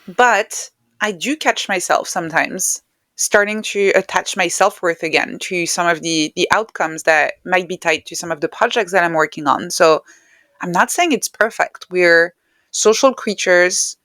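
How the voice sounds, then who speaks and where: Woman, non-native English speaker, slightly low pitch, reflective podcast guest, very dry recording. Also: measured pace, fairly bright tone, dry recording. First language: not English. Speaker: podcast guest, writer and neuroscientist. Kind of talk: reflective interview conversation.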